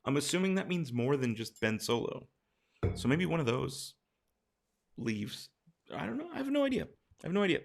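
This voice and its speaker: A man with a hoarse, tired voice, casually reading out viewer questions and answering them on a late-night live stream. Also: a little high, very animated, moderate pace, pauses often.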